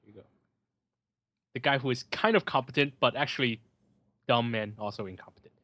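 A man's voice: medium pitch, a little fast, sharp tone, dry recording. Sardonic man chatting over a movie. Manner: sardonic, joking. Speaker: film commentary podcast host. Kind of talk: casual chat, running movie commentary.

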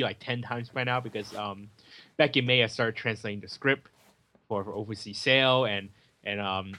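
Bright-voiced man chatting about film. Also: medium pitch. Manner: chatty, many fillers and restarts. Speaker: film podcast co-host. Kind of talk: casual film chat.

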